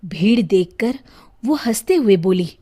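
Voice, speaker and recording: A woman's voice: medium pitch, lively intonation, measured pace, mellow, breathy edge. A woman narrating a scripted moral story expressively as a storyteller. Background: faint music.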